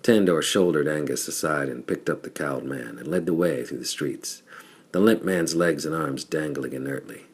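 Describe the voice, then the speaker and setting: Male audiobook narrator, very deep bass with a rough husk, scripted pulp adventure storytelling. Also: moderate pace. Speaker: audiobook reader.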